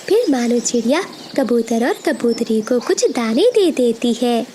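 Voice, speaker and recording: High-pitched, animated woman narrating children's stories. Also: measured pace, a little hiss or hum. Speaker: story narrator. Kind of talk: scripted children's story narration.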